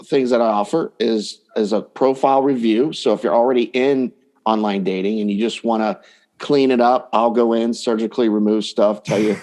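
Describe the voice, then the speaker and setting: A man's voice: lowish voice, steady tone, moderate pace, darkish tone. A man talking casually and explaining his coaching work as a guest in an interview. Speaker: dating coach, podcast guest.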